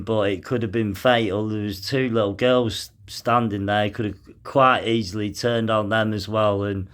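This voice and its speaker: A young man commenting on a news article as he reads it aloud, halting often with fillers and sighs. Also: on the low side. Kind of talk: casual commentary mixed with reading aloud.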